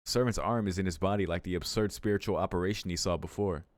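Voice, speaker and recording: A man's voice: sits low, fast, no room sound, studio quality. A man hosting a let's play, reading visual novel narration aloud and adding casual commentary. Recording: music playing behind the voice. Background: game music.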